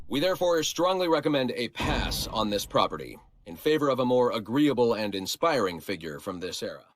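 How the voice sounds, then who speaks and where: An actor playing a smug corporate researcher in a video game, narrating a report in a measured, prim, condescending way. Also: male voice, on the low side, slight background noise, natural voice. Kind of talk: scripted narration.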